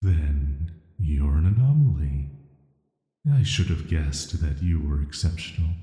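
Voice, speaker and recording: A man playing an ancient cursed villain in a sleep-aid audio roleplay, speaking in a soft, flat, soothing and intimate way, flirty yet dangerous. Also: a bass voice, a little slow. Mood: gentle, intrigued. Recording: echoey, long reverb.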